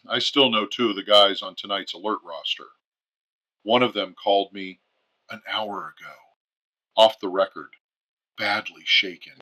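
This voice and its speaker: A man's voice: lowish voice, measured pace, pauses often, bright, forceful tone. A man playing a guarded, secretive retired fighter pilot speaking tersely over a muffled caller line in a radio drama. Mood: guarded, grave.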